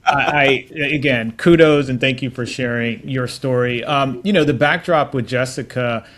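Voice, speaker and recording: Middle aged guy on a podcast, medium pitch, easygoing, rambles a bit telling his story. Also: normal speed, fairly bright tone. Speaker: interview guest, tech executive. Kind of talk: casual conversational storytelling.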